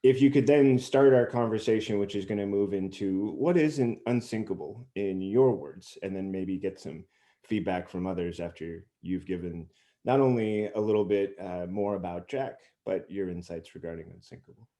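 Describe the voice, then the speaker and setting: A man's voice: lowish voice, moderate pace, warm tone. A man hosting a group interview on a video call, speaking in a conversational style. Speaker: interview host.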